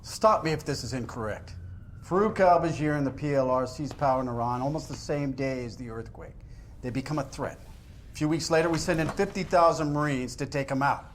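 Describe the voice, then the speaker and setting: A man's voice: mid-range voice, a little fast, slight background noise, natural voice. An actor playing a hostile, sarcastic male interrogator in a game cutscene who talks aggressively and swears. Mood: needling.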